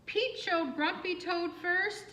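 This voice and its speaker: A woman reading a picture book aloud for children in an expressive, singsong way, with asides and questions to young listeners. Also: extremely high pitch, slow. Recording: roomy, echoing room sound.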